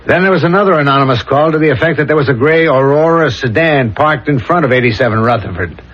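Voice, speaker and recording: An actor playing a dogged, methodical police inspector in a 1970s radio drama, questioning and reasoning aloud. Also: male voice, a little high, a bit bright. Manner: probing, deliberate, methodical questioning. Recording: dull lo-fi old radio recording.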